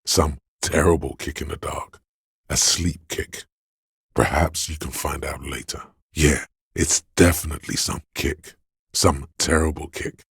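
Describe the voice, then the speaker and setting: A man voicing a sleazy, hedonistic inner voice of addiction in a video game, with a slow, coaxing, streetwise manner and a deep gravelly, husky sound. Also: fairly even intonation, a bit bright, dry recording, studio quality, exaggerated voice. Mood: sly, musing. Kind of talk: video game character voice acting.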